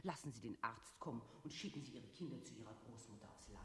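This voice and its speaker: A man's voice: mid-range voice, expressive, quick pace, sharp tone, breathy edge, exaggerated voice. An actor playing an impersonal, directive male voice that issues step-by-step orders in a radio play. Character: impersonal voice issuing orders to an employee. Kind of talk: scripted instructions in a radio play.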